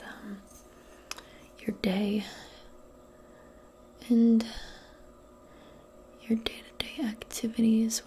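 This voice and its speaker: Female ASMR role-player: slow, soothing, breathy near-whisper, faint noise behind the voice. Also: mid-range voice. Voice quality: breathy, mostly whispered soft voice. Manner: gentle, soothing, unhurried, conversational. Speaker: ASMR performer role-playing a modern witch. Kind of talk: soft-spoken ASMR personal attention role play.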